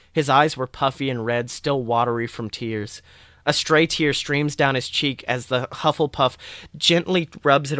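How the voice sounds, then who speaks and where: A man narrating a first-person story in a dramatic, expressive way. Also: medium pitch, dry recording, clean studio sound. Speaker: podcast host reading fan fiction.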